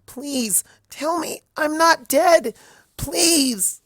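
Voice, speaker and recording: A man's voice: very high-pitched, very slow, fairly bright tone, dry recording, clean studio sound, pitched above the performer's own voice, full character voice. A man voicing a young female pony student, frightened and pleading, with a stammering, tearful delivery. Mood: tearful panic.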